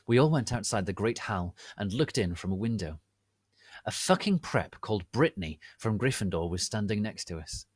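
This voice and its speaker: Guy doing a dramatic story reading, lowish voice, really lively intonation, dry close sound. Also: normal speed, breathy edge, dry recording. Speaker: narrator reading fan fiction aloud.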